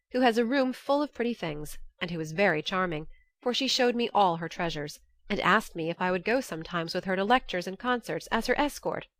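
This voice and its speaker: Low-pitched woman narrating a classic novel. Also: a bit bright, no echo. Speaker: audiobook narrator. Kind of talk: scripted narration of a classic novel as lively first-person letters.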